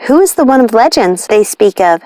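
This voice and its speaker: A woman's voice: fairly high pitch, animated, brisk, dark tone, no room sound, natural voice. A woman voicing an indignant, bewildered young princess in a prim storybook manner. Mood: confounded.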